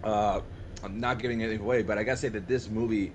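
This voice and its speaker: Informal, enthusiastic man over steady background noise. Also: on the low side, fast, highs cut off. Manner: informal, enthusiastic, repeats himself mid-thought. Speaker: podcast co-host. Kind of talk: casual chat among friends.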